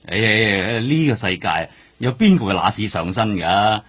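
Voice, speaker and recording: A man with a husky voice and Hong Kong accent playing a wry, grumbling veteran detective in a radio drama. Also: lowish voice, very animated, moderate pace. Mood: weary, persuasive. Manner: sighing, chatty, wry. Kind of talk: Cantonese radio drama acting.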